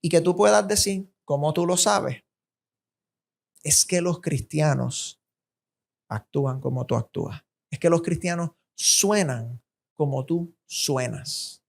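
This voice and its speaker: Slow, emphatic Puerto Rican woman preaching. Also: sits low, gravelly, a little mellow. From Puerto Rico. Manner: emphatic, deliberate, repetitive phrasing. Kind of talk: sermon.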